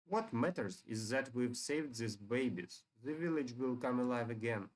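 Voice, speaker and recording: A man's voice: mid-range voice, animated, normal speed, natural voice. A man voicing a righteous, solemn dragon hero from a fantasy game in an earnest way.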